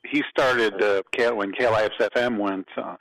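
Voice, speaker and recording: A man on a radio panel, chatting and reminiscing in a halting, thoughtful way. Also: on the low side, steady tone, a bit bright, no room sound, low-quality recording. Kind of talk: casual chat, reminiscing.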